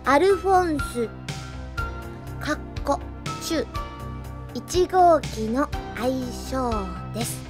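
Very high-voiced girlish rookie policewoman. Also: lively intonation, drawn-out words, long pauses, music in the background, full character voice. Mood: earnest, indignant. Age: young adult. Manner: thinking aloud while writing a diary. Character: young policewoman, earnest, girlish, scatterbrained rookie robot pilot. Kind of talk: anime radio drama acting.

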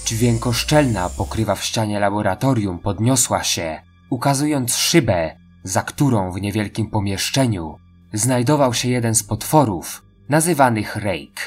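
A man's voice: on the low side, lively intonation, measured pace. A man reading scripted story narration as the narrator of an audio drama. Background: music.

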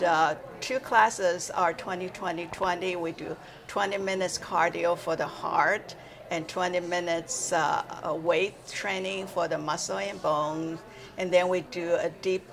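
Slow, gravelly woman instructor from Taiwan. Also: fairly low pitch, steady tone. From born in China, raised in Taiwan. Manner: halting, with frequent uh fillers and simple grammar. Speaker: exercise instructor. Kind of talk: casual interview. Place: indoor library room, seated in armchair.

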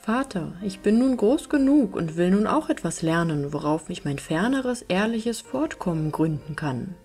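A woman's voice: on the low side, lively intonation, moderate pace, darkish tone, husky, faint music behind. A woman voicing a bold, defiant teenage huntsman in a fairy-tale audiobook, firm and plain-spoken. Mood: earnest. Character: bold, defiant young huntsman boy, fearless.

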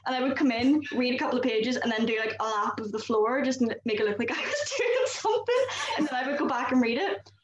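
A woman's voice: high-pitched, animated, fast talker, few pauses, echoey room. A young Northern Irish woman hosting a casual panel chat over a video call, chatty with lots of "like". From Northern Ireland. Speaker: host. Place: small room indoors.

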